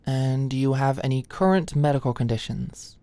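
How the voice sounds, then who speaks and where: A woman's voice: a bass voice, normal speed, dark tone. A young woman playing an anxious, friendly medical student in an ASMR roleplay, chatty and hesitant, easily flustered. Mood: flustered, awkward. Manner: hesitant, chatty, nervous, conversational. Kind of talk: ASMR roleplay, acted casual dialogue.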